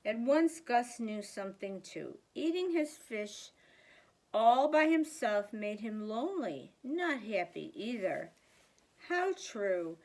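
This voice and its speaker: A woman reading a children's picture book aloud as a storyteller, deliberately and with theatrical emphasis. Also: fairly high pitch.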